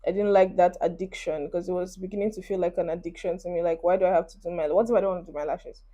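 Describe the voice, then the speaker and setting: A woman's voice: lowish voice, fairly even intonation, quick pace, warm tone. A woman in her twenties with a Nigerian accent, chatting to camera in a casual get-ready-with-me vlog, encouraging her viewers while doing her makeup. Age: 20s. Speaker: beauty vlogger. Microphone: phone camera.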